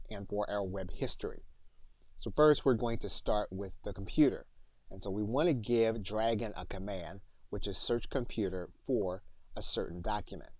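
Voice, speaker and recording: A man narrating a software tutorial as an instructor, explaining steps and giving spoken commands. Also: lowish voice, no echo.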